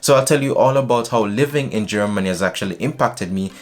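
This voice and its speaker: A young man from Jamaica chatting casually to camera in a small indoor room, animated and gesturing as he talks. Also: on the low side, fast. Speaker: vlogger.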